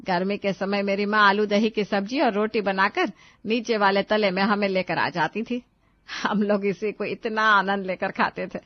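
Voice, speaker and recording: A woman's voice: medium pitch, steady tone, moderate pace, no room sound, muffled recording, close to an everyday voice. A woman voicing a weary, placating middle-aged mother in a cartoon family story, coaxing and plaintive. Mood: nostalgic.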